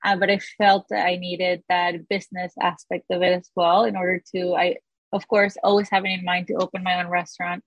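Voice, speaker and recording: A woman in her thirties from Venezuela, a chef answering interview questions in a relaxed way with many "you know"s. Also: lowish voice, fairly quick, no room sound, highs cut off.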